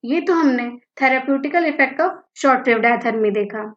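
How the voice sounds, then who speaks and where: A woman's voice: sits high, a bit bright. A woman with an Indian accent reads a scripted educational narration in an instructional manner, as a physiotherapy lecturer. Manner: mixes Hindi and English, instructional. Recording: dull lo-fi audio, roomy echo.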